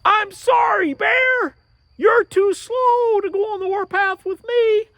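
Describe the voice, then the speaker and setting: A storyteller voicing a clever, boastful male turtle on the warpath, calling out in a high, shouting voice. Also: normal speed, vocal fry, husky, no room sound, muffled recording, heavily acted. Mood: sly, taunting. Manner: high shouted taunts, sly whispered thoughts.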